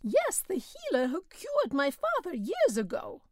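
Brief: panicky peasant man, high and whining, played by a woman. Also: wildly swooping pitch, measured pace, a little mellow, dry recording, studio quality, full character voice. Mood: plaintive.

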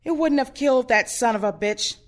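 A woman playing a blunt, sardonic, protective older woman in an audio play, outspoken and expressive. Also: high-pitched, a little fast, close to an everyday voice. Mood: bitter, blunt.

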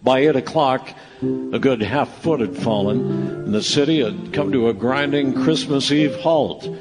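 An actor narrating a Christmas radio play as a folksy storyteller. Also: male voice, medium pitch, a little slow, close to an everyday voice, poor audio. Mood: storytelling. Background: live music.

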